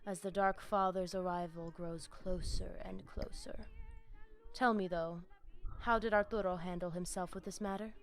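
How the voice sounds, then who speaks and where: A woman's voice: lowish voice, fairly even intonation, moderate pace, lightly acted. An actress playing a coldly imperious, zealous female vampire crime boss in a video game, speaking in formal, ominous, threatening phrases. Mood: cool, imperious. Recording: music behind the voice. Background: game music.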